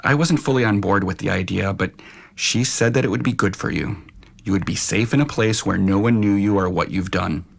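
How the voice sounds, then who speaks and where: Man acting a gravelly, stern uncle. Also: fairly low pitch. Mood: stern, reproachful. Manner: firm, measured, admonishing. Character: stern, protective uncle, middle-aged husband and father. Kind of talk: audio drama dialogue.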